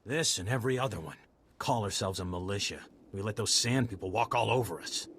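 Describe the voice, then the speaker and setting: A man's voice: fairly low pitch, brisk, a bit bright, a little hiss or hum. A man voicing a blunt, feisty frontier militiaman with a folksy manner in a video game. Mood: frustrated.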